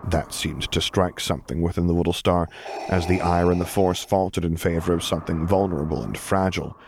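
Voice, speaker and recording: A man reading fiction as an audiobook narrator, in an expressive scripted storytelling style. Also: low voice, creaky, noisy background.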